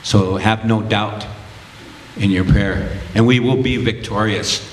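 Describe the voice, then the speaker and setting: A man's voice: fairly low pitch, steady tone, a little slow. A man speaking at a community rally in an impassioned, prayerful, declaiming way. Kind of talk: impassioned speech. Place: indoor community gathering. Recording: echoey hall with background noise.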